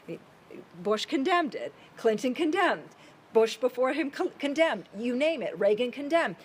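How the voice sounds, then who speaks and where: Brisk, pointed woman panelist. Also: sits high, very animated, a little hiss or hum. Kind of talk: panel discussion remarks. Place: conference hall.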